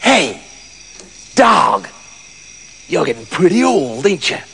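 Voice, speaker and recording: A man as a nasty storybook farmer, gravelly, menacing, gloating, low for him, faint noise. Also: very animated, slow pace, bright, forceful tone, heavily acted. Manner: menacing, taunting. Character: horrible cruel gloating male farmer.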